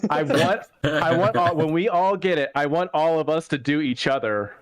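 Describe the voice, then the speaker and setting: A man with a Southern US accent co-hosting a casual gaming chat in a rambling, halting, jokey way. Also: fairly high pitch, no room sound. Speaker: gaming show co-host.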